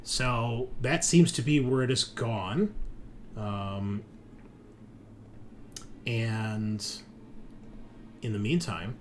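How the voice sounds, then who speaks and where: A man with a slightly low voice runs a tabletop game, with noise behind him. He recaps the adventure casually and at an unhurried pace. His delivery halts as he thinks aloud. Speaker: game master. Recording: clear background noise.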